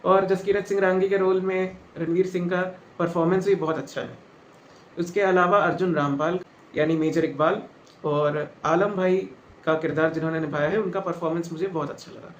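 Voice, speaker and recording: A man giving a spoken film review, measured and thinking aloud as he goes. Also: sits high, steady tone. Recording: roomy, dull sound with faint noise.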